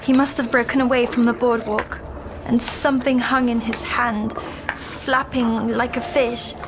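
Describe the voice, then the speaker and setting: A woman playing a timid young girl in an audio drama, haltingly recounting her nightmares in a hushed, haunted way. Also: fairly high pitch, flat delivery, noisy background. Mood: uneasy, recalling. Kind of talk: audio drama acting, slow dreamy narration.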